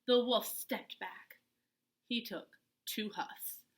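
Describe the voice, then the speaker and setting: A woman reading a picture book aloud to children as an expressive storyteller, dramatic and full of emphatic pauses. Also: on the high side.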